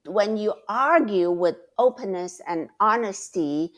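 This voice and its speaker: Slow, thoughtful woman mentor. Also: mid-range voice, lively intonation. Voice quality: loud, passionate voice. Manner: slow, thoughtful, repeats words while searching. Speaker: guest mentor. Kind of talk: casual advice talk.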